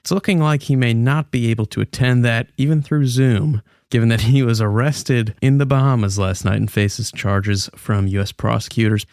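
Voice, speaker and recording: A man's voice: mid-range voice, fairly even intonation, normal speed, few pauses, darkish tone, no echo, clean studio sound. A man hosting a business news podcast in an informal, playful, conversational way. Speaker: podcast host.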